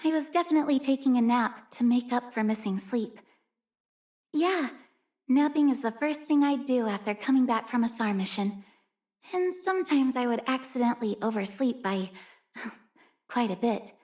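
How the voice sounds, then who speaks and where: A woman voicing an earnest, gentle young female snow-rescue worker in a video game, speaking in a caring, polite manner. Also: a little high, expressive, moderate pace, close to an everyday voice. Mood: apologetic, sheepish. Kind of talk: video game character voice lines.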